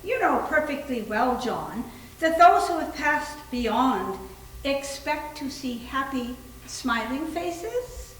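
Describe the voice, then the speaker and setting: Woman acting a high-pitched, bossy doctor's wife. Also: very animated, full character voice. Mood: imperious, critical. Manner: imperious, brisk, pompous. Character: bossy, self-important doctor's wife, impatient spiritualist. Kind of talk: readers theater stage reading. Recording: echoey room, mic at a distance.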